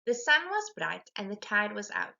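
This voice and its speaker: A woman reading a rhyming children's storybook aloud in an animated, emphatic way. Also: mid-range voice, moderate pace, gravelly, dull audio. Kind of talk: expressive rhyming storybook narration.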